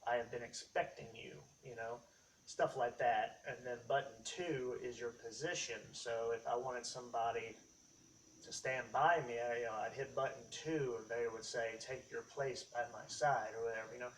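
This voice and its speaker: A man casually demonstrating hobby gear as a video host, explaining in a halting, rambling way. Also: medium pitch, fairly even intonation. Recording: distant mic, faint background noise.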